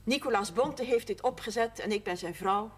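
A woman's voice: fairly high pitch, normal speed, lightly acted. An actress playing a proud, sober widowed shopkeeper and mother in a naturalistic television drama. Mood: firm, proud. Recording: faint noise, 1970s television soundtrack.

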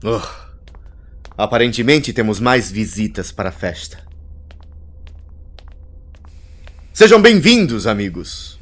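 A man's voice: fairly low pitch, sing-song, normal speed, fairly bright tone. An actor playing an arrogant, mocking male villain and would-be conqueror in an audio drama, with a theatrical, taunting delivery. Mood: smug. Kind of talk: scripted audio drama acting.

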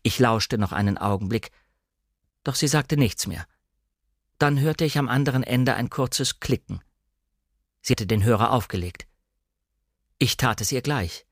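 A man's voice: medium pitch, a little slow, pauses often, no room sound, clean studio sound. A man narrating a novel as a scripted literary audiobook reading.

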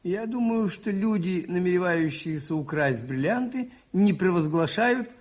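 An actor playing a mild, shrewd priest and amateur detective in a radio play, gently reasoning. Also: male voice, high voice, lively intonation, a little slow, lo-fi sound. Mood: calmly reasoning. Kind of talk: 1980s Soviet radio play acting.

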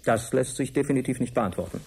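Evasive research director, radio drama. Rough-edged mid-pitched voice, curt and formal. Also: male voice, fast talker, a little mellow. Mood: guarded. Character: guarded, evasive male professor and institute director. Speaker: actor.

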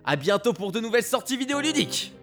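An actor playing an excitable, gushing male presenter of a video game radio show in an audio drama. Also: very high-pitched, fast talker, soft background music, exaggerated voice. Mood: grateful, upbeat.

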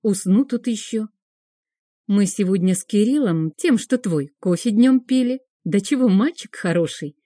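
Woman for first-person fiction narration: warm, mellow tone, very dry close studio sound. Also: medium pitch, lively intonation, unhurried, no room sound. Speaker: audiobook narrator.

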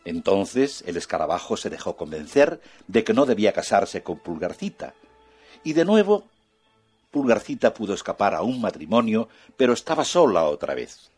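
A man narrating a children's fairy tale in an expressive, soft-spoken way. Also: lowish voice, moderate pace, breathy edge, faint music behind. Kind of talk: children's storytelling.

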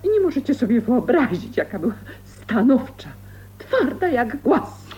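A scandalized middle-aged mother in an old radio play. Her high voice swings widely as she laments and scolds with indignation. The recording is dull and faintly hissy. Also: big pitch swings, a little slow, dark tone. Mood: distraught. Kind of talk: radio drama acting.

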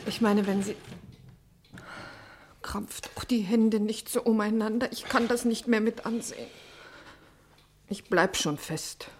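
Anxious pastor's wife played by an actress, faint noise. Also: female voice, medium pitch, unhurried, pauses often, natural voice. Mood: anxious, strained. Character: anxious pastor's wife and mother, brittle, trying to stay steadfast. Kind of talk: German radio play acting.

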